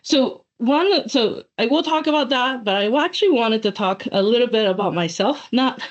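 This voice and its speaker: Animated Japanese-born woman lecturing over a call. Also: on the high side, moderate pace. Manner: animated, chatty, frequent fillers and self-corrections. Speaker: guest speaker, associate professor. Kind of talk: webinar lecture. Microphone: computer mic on video call. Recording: dull lo-fi call audio.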